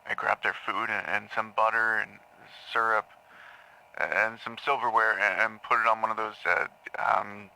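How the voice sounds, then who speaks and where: A man playing a troubled patient in an audio drama, haltingly confessing dark memories to a therapist, stammering and repeating words. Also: lowish voice, little pitch movement, vocal fry, exaggerated voice, rough recording. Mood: subdued, hesitant. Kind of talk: audio drama acting, intimate confessional monologue.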